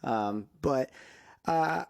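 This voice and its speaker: A man in his thirties talks through a casual podcast chat in a mid-pitched voice. He is upbeat and loose, and keeps dropping in "um" and "like" as he goes. The recording sounds close and dry, with no room echo. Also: lively intonation, extremely slow, gravelly, smooth. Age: 30s. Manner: chatty and upbeat, full of "um" and "like" fillers. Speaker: podcast co-host.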